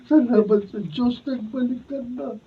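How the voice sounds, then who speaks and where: A man's voice: very high voice, steady tone, moderate pace. A man acting in a Filipino radio drama as a devout, forgiving father, earnest and solemn, with a Filipino accent. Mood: moved, tender. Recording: distant mic, dull noisy room recording.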